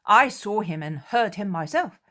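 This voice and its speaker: A man's voice: high voice, lively intonation, a little slow, bright, forceful tone, pitched above the performer's own voice, exaggerated voice. Woman voicing an insistent, defensive male disciple.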